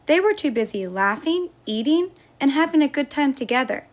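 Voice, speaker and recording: Woman reading a children's story, higher-pitched. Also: expressive, normal speed. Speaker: storyteller, teacher. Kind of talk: children's story read aloud.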